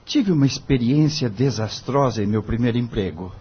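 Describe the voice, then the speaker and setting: Discouraged young male lawyer, Brazilian accent, respectful pleading manner, slightly rough voice, muffled radio drama recording. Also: mid-range voice, lively intonation, normal speed. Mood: dismayed.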